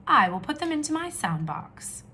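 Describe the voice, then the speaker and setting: Woman reading as an eager, lively storybook girl. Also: sits high, moderate pace, a little hiss or hum, pitched above the performer's own voice, heavily acted. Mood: eager, pleased. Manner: excited, exclamatory.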